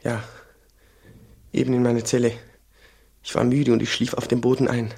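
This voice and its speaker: An actor playing an obedient, devout monk in a German radio play, earnest and deferential, with a slightly husky voice. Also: male voice, mid-range voice, little pitch movement, moderate pace, darkish tone. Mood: earnest, contrite. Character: humble, obedient young monk, devout and penitent.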